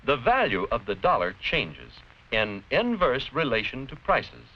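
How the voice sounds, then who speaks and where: An American man giving scripted educational narration in a deliberate, clearly explanatory, didactic manner. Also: mid-range voice, wildly swooping pitch. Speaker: narrator-presenter of an educational film. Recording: dull, noisy vintage educational film soundtrack.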